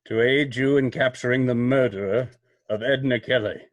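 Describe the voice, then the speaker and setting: An actor playing a mysterious, self-assured vigilante in an old-time radio mystery, speaking in a formal, portentous, deliberate way. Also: male voice, mid-range voice, no room sound, natural voice. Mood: stern, warning.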